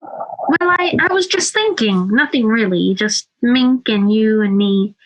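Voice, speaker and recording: Woman acting an anxious, high-voiced mother. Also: animated, unhurried, rough recording. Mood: nervous, evasive. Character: anxious, hesitant housewife and mother. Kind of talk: amateur audio drama acting.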